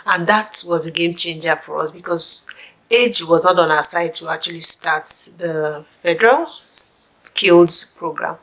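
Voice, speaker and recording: A woman's voice: fairly low pitch, measured pace, a bit bright, low-quality recording. A middle-aged woman from Nigeria with a Nigerian accent, chatting casually to camera as a vlogger for immigrants. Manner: chatty, musing, with asides and restarts.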